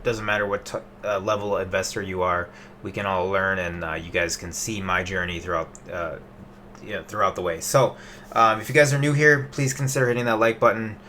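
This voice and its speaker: A man in his late twenties talks casually at his desk, rambling through an investing update with lots of fillers and restarts. His voice sits a little low but comes across bright and forceful. A faint hum of background noise sits under the webcam sound. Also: fairly even intonation, fairly quick. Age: 20s. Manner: loose and chatty, many fillers and restarts. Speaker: investing vlogger.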